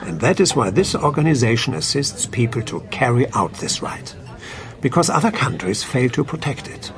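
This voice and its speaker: An actor playing a calm, principled doctor in a radio play, speaking in a measured, formal, explanatory way. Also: male voice, mid-range voice, crowd noise. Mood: firm, quiet. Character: calm, principled male doctor at an assisted-dying clinic.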